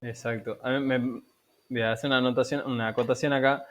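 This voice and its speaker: A man's voice: medium pitch, level tone, fast talker. An Argentine man hosting a podcast, asking laid-back questions and adding side remarks. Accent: Argentine Rioplatense. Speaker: podcast host. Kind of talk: conversational interview.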